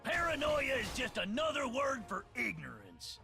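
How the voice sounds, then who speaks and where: A man playing a crazed, cranky little redneck creature from a video game, with a raspy voice and a rural Southern American accent, belligerent and folksy. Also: very high-pitched, huge pitch swings, normal speed, faint music behind, full character voice. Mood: paranoid. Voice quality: raspy, gravelly shouting. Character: crazed cranky small yordle redneck, paranoid and bloodthirsty.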